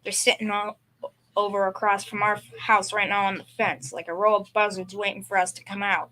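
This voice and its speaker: A woman's voice: mid-range voice, level tone, a little fast, sharp tone, natural voice, poor audio. A young female performer playing a blustering, secretly scared father with folksy, hesitant speech in a school old-time radio comedy. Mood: uneasy. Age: young teen or child.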